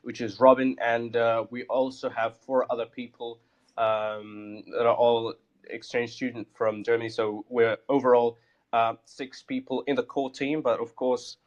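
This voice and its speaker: Halting man with muffled call audio. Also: mid-range voice, flat delivery. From Morocco. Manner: halting, many fillers and restarts. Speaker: project founder guest. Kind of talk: casual live audio chat.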